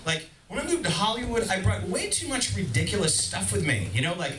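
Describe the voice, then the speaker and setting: A man works a stand-up set with a self-deprecating, animated delivery. His voice sits high and swings widely. It is picked up from a distance in an echoey club, with a loud audience all around. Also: brisk, crowd noise. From Boston, Massachusetts. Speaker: stand-up comedian. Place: comedy club stage. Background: audience laughter.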